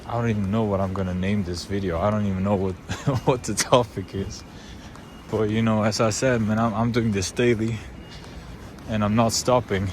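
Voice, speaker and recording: Lowish Swiss man rambling in a car. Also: fairly even intonation, moderate pace, noisy background. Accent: Swiss German. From Switzerland. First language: Swiss German. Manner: loose, unscripted, many fillers and restarts. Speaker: vlogger. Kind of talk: casual rambling monologue.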